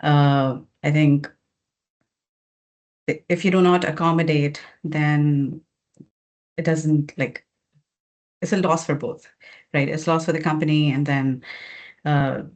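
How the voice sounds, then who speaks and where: A woman with a low voice hosts an interview, summing up her guest's points in a measured, reflective way. She leads into questions calmly, with a few fillers along the way. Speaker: webinar host. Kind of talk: interview hosting. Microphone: video call.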